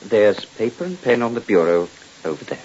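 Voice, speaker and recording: A man in an old-time radio drama playing a wronged husband who is calculating, measured and quietly commanding. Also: fairly low pitch. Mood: cold, firm. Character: cool, calculating wronged husband turning the tables. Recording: muffled old radio recording, steady hiss.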